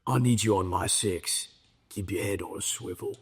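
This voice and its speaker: A man's voice: sits low, normal speed, natural voice. A man voicing a terse military commando from a shooter game, with a strained, commanding delivery. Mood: stern. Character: terse gruff military commando giving orders. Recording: music clearly behind the voice.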